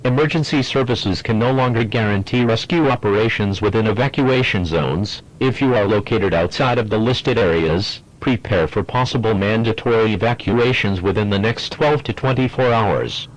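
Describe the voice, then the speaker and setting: A man voicing a stern official emergency alert announcer, reading a formal scripted warning in a measured, impersonal manner. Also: on the low side, lightly acted, low-quality recording. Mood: grave, impersonal.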